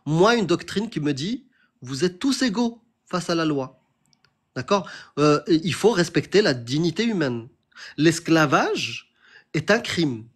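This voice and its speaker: A man's voice: a little high, slightly rough. A young man hosting a debate, pressing his point insistently and addressing the other as a brother. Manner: challenging, insistent, calls the other "frérot". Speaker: host of a debate stream. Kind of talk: heated debate on religion.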